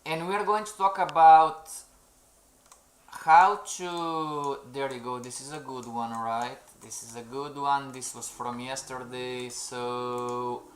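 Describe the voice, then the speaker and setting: A man's voice: mid-range voice, little pitch movement, slow pace, a little hiss or hum. A man running a live-streamed trading lesson who keeps calling out "everybody", asks "okay?" and reads chat messages aloud. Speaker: trading instructor. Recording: roomy echo.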